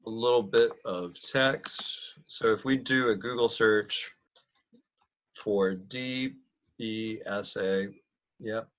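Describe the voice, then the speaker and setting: Male meeting leader, unhurried and halting, informal presenting style, muffled conference-call sound. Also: fairly low pitch, steady tone, fairly bright tone. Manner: halting, thinking aloud. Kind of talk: casual work conference call. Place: online video conference call.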